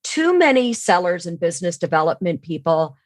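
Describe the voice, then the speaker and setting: A woman's voice: fairly low pitch, big pitch swings, normal speed, dry recording. A woman explaining things as an expert podcast guest, in an instructive way, often posing questions and answering them herself. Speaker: guest, sales training expert. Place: remote online call.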